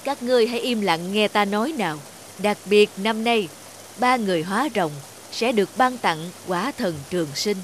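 A woman voicing a stern, dignified old male heavenly messenger in an animated fairy tale, formal and commanding. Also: mid-range voice, moderate pace, a little hiss or hum, close to an everyday voice. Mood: solemn, announcing.

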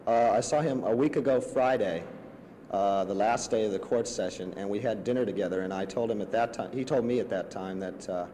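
A young adult man giving halting interview answers. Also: lowish voice, dark tone. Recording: faint noise on archival newsreel audio.

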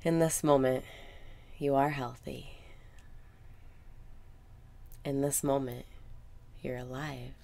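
A woman leading a guided meditation in a calm, soothing manner, with soft, sometimes breathy phrasing and deliberate pauses. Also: extremely low pitch. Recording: background noise with ambient sound.

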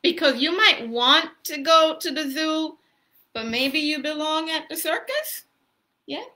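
A woman hosts a children's story time in casual, simple language. Her voice is strikingly bright and sits a little high. She explains things plainly and often turns to the children with questions. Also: expressive, measured pace. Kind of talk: casual, child-directed teaching chat. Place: small room at home. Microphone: laptop or phone at arm's length.

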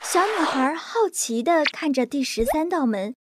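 A woman telling a children's bedtime story in a high, animated voice that rises and falls a lot. Faint noise sits under the recording. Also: measured pace. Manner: lively, expressive. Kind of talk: scripted bedtime story narration.

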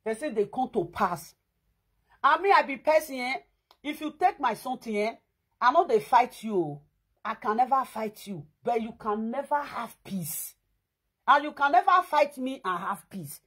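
A woman in her thirties with a Nigerian Pidgin accent, chatting to her viewers indoors in an animated, emphatic way. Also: a little high, moderate pace, slightly rough. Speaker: vlogger. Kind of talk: casual chat to viewers. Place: indoors against a plain wall.

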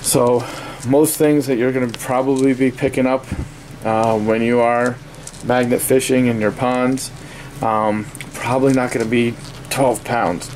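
A man giving a casual, halting, offhand unboxing review at a desk in a small indoor workspace. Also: mid-range voice. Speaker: product reviewer. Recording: steady noisy room ambience.

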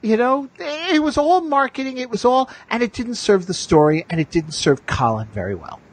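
A man hosts a casual fan podcast with emphatic, opinionated delivery. His voice is rough-edged and very expressive, recorded close and dry. He often repeats phrases as he builds a point. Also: very high-pitched, moderate pace, dry recording. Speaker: podcast host.

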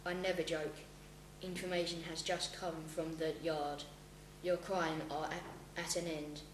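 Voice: medium pitch, flat delivery, measured pace, lightly acted. A school pupil playing a stern, self-assured male police inspector in an amateur radio play, with stumbling delivery. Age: child, about 12 to 13. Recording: echoey room, clear hum.

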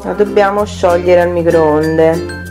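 A woman's voice: fairly low pitch, fairly even intonation, takes it slowly. A woman chatting casually as she guides a child through cooking, prompting and correcting in short phrases. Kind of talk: casual instructional chat. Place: home kitchen, indoors. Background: background music.

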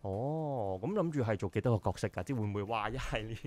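A man's voice: lowish voice, lively intonation, quick pace, darkish tone. A man in his thirties with a Hong Kong Cantonese accent, hosting a casual radio interview in a studio and talking in a chatty, teasing way. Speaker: radio host. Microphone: studio boom mic.

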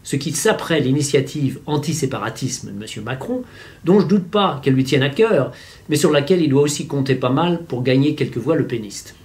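A man's voice: mid-range voice, expressive, a little fast, darkish tone. A man in his fifties giving didactic, wry opinion commentary to a camera in a room at home. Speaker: vlogger commentator. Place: small furnished room at home.

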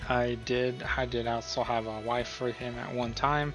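A man's voice: medium pitch, flat delivery, moderate pace, over music. A man hosting a casual screen-recorded tutorial, explaining things in an informal, rambling way. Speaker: host of a role-play tutorial.

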